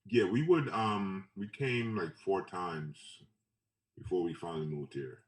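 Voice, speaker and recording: A man from Trinidad and Tobago with a low voice shares memories in a casual interview. He speaks haltingly and loosely, circling back over phrases. The sound is echoey, with the microphone at some distance. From Trinidad and Tobago, later Brooklyn. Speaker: photographer being interviewed. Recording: roomy echo, distant mic.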